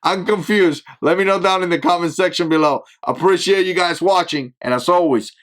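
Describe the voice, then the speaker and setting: A man's voice: high voice, a little fast, a bit bright. A man with an accent, host of his own channel, giving an emphatic opinion commentary that is full of tag questions like "right?". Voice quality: speaks with an accent that is not standard American.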